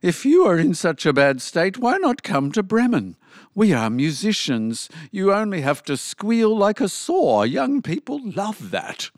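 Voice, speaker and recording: A man's voice: high voice, huge pitch swings, takes it slowly, creaky, dry recording, clean studio sound, voice pushed up, exaggerated voice. A man voicing a cheerful, encouraging old donkey in a children's storybook, exclaiming with enthusiasm. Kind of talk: animated children's storybook character voice.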